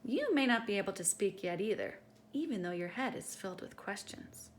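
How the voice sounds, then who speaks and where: Animated woman reading a children's picture book. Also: medium pitch, fairly quick, a little hiss or hum. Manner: animated, teacherly, addressing young listeners directly. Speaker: storytime host reading aloud to children. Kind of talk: expressive children's picture-book read-aloud.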